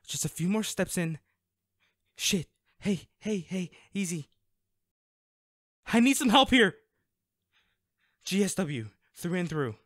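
Protective male bodyguard, played by a man for audio drama: emotional, halting, unhurried, with wide pitch swings. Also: dry recording, clean studio sound, exaggerated voice. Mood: urgent, frightened. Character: protective, worried male bodyguard scolding a loved one. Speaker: audio roleplay voice actor. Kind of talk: intimate dramatic audio roleplay.

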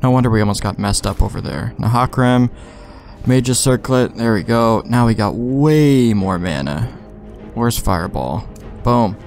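A man plays a video game and talks his way through it in a rambling, casual style. His mid-pitched voice is full of slang and swearing, with some game music behind him. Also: expressive, measured pace, gravelly, a little mellow. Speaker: let's play gamer. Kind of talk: casual gameplay commentary.

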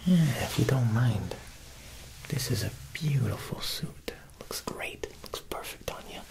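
A man's voice: mid-range voice, animated, unhurried, gravelly, poor audio. A young man whispering an ASMR roleplay as a joking, faintly menacing Italian mob boss tailor, with wiseguy turns of talk. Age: young adult. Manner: wiseguy talk, frequent "huh" tags. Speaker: ASMR performer playing a tailor.

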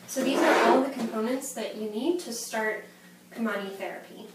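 A woman in her thirties giving a measured, step-by-step training demonstration in the manner of a methodical instructor. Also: a little high. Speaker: medical device trainer. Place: small plain indoor room. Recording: echoey room, distant mic, background noise.

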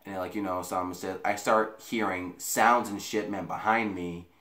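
A man's voice: deep voice, steady tone, normal speed, fairly bright tone. A young American man telling a casual story to camera in a rambling, repetitive, profane way, recorded in a bedroom on a camera mic a few feet away. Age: young adult. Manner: rambling, profane, repeats himself. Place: small bedroom, indoors. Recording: echoey room.

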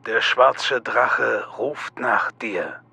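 Husky, slow-spoken sinister cult leader. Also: male voice, medium pitch, sharp tone, full character voice. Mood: menacing. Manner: slow, measured, menacing. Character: sinister male Chinese cult leader, taunting and ceremonial. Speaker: actor. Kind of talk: German audio drama acting.

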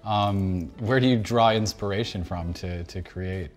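A man's voice: low-pitched, measured pace. A middle-aged man hosting a studio interview, playful and encouraging, often chiming in on his guest's answers. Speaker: host. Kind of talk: casual interview chat. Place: dark studio set, indoors.

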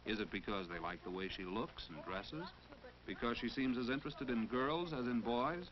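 A man's voice: medium pitch, normal speed. A man narrating a 1940s educational film in a didactic way, posing questions and giving advice. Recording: muffled, noisy 1940s film soundtrack.

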